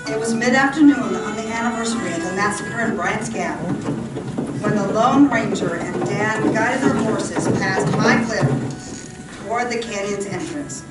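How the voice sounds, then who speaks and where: A woman's voice: medium pitch, measured pace, loud music behind the voice, lightly acted. A woman reading scripted narration for an old-time radio western drama. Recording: echoey room from far off.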